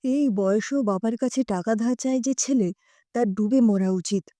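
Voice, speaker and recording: A woman's voice: a little high, moderate pace, mellow, no room sound, studio quality, pitched below the performer's own voice, close to an everyday voice. A woman voicing a bitter, disappointed father who taunts his grown son in an audio story. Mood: bitter reproach.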